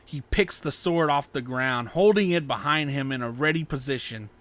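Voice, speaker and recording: Bright-voiced man narrating an action story. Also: mid-range voice, normal speed.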